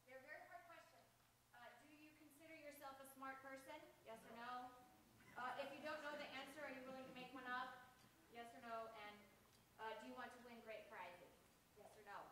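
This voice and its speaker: A woman's voice: high-pitched, fairly even intonation, fairly quick. A woman speaking casually and off the cuff to an auditorium audience, heard from far off in an echoey, noisy hall.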